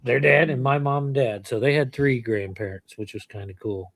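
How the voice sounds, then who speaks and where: A man's voice: medium pitch, normal speed, a bit bright, no echo. A middle-aged man hosting a casual family chat, telling rambling stories and chuckling as he goes. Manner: rambling storyteller, chuckles often. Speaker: channel host.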